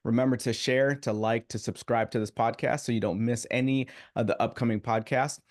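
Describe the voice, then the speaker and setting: A man's voice: medium pitch, fairly even intonation, fairly quick. A man hosting a podcast interview in a casual manner, often saying "kinda". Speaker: podcast host.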